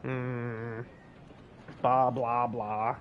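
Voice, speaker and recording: A man's voice: medium pitch, flat delivery, extremely slow, lo-fi sound, a little hiss or hum. A man giving casual, playful gameplay commentary as a streamer, talking in a slangy way. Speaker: gaming streamer. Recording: game music behind voice.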